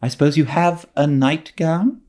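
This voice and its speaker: A man playing a stern older woman, pitched up. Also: fairly even intonation, dark tone, close to an everyday voice. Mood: brisk, stern. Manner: brisk, scolding. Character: stern, sharp-tongued older spinster woman, brisk and disapproving.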